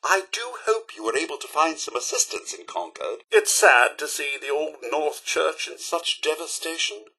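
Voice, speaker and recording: A man's voice: on the high side, lively intonation, normal speed, vocal fry, hardly any pauses, bright, forceful tone, breathy edge, exaggerated voice, poor audio. A man voicing a prim, fussy, courteous robot butler in a video game, with a British accent and a polite, theatrical manner. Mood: chipper, curious. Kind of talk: video game companion voice lines.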